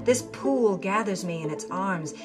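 A woman giving a theatrical, scripted narration of a memoir, dropping to a breathy hush in quiet moments. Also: mid-range voice, lively intonation, a little slow. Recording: music bed under the voice.

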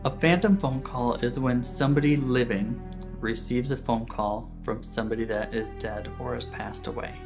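A man's voice: mid-range voice, steady tone, moderate pace, vocal fry. A man in his thirties with an American accent, telling stories casually to camera as a vlog host in a conversational, informal way. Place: small indoor room set. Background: background guitar music.

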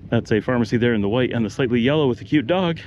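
A middle-aged man giving relaxed walking-tour commentary outdoors, his voice rising and falling a lot as he points out shops and houses. The sound is dull and lo-fi, with faint street noise behind him. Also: mid-range voice, rapid-fire. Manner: relaxed, pointing things out as he walks.

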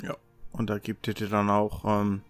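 A man casually moderating a tabletop roleplaying game as game master, halting and hesitant as he talks. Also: on the low side, completely flat delivery, faint music behind.

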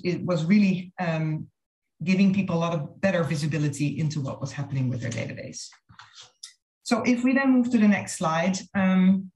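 Low-voiced woman presenting in an echoey room. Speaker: marketing consultant presenting a case study. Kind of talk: slide presentation on a video call. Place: online webinar over video call. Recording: roomy, echoey video-call audio.

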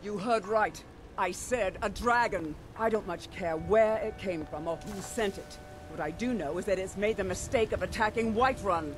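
A woman's voice: mid-range voice, normal speed, music in the background, lightly acted. Stern, resolute female commander played by an actress. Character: stern, fearless female elf military commander. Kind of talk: fantasy video game acting.

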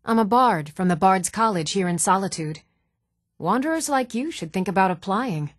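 An actress playing an even-toned fantasy townswoman in scripted video game dialogue, with a measured, composed delivery. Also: medium pitch, no echo, studio quality, lightly acted. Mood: friendly, matter-of-fact.

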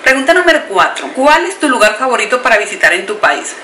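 A chatty woman with a Colombian Caribbean coast accent talks straight to camera from a room at home. Her voice is very bright and sharp. The room sounds echoey, with a faint hiss behind her. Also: on the high side, very animated, fast talker. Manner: chatty, warm address to viewers as "bellezas", emphatic questions. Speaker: beauty vlogger. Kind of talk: casual chat to camera. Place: home room with shelves and clothes rack, indoors. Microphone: camera in front at close range.

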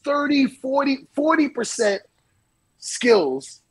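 A man in his forties joins the show by video call from a small room at home, with a raised, gravelly and somewhat husky voice. He tells stories in an animated, unfiltered way, swearing and circling back over his words. The audio is close and dry. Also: very high voice, slow pace, no room sound. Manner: animated, hand-waving storyteller, swears freely and repeats himself. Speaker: DJ and interview guest. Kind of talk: casual podcast interview.

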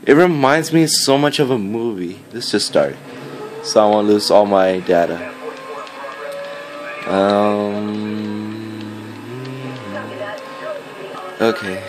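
A teenage boy talks through his gameplay in a slow, casual ramble, hesitating as he searches for words. He is recorded on a phone, with game music clearly playing behind him. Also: on the low side. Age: teenager, fourteen. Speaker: gaming video maker. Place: indoors, filming a game on a screen. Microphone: handheld phone.

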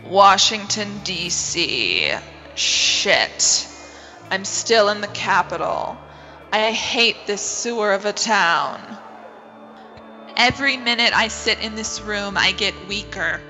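A woman's voice: mid-range voice, expressive, slow pace, pushed and bright, faint music behind. A woman playing a brash, sardonic podcaster heroine in an audio drama, hard-boiled and bossy. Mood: jaded.

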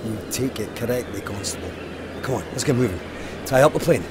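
An actor with a Scottish accent playing a grim, haunted, commanding police sergeant in a formal, clipped manner in an audio drama. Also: male voice, mid-range voice, animated, fairly quick, noisy background, lightly acted. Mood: stern. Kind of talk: full-cast audio drama acting.

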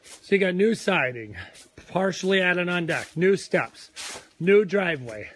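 A hoarse man in his forties with a Minnesotan accent talks into a phone he holds at arm's length, outdoors on a lakeside deck. His voice sits a little high for a man. He rambles earnestly, a real estate agent cheering his viewers on. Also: lively intonation, unhurried, a bit bright. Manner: rambling, earnest pep talk. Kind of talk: live property tour vlog. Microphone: phone held at arm's length.

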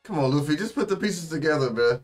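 A young American man giving casual reaction commentary with slang and expressive exclamations. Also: a little high, quick pace, faint music behind. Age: young adult. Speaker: reaction video host. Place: small indoor room.

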